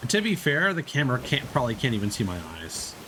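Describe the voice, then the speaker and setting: A man streaming a video game, chatting along in a relaxed, joking way and laughing often. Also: medium pitch, fast, noisy background. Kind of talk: casual gameplay commentary.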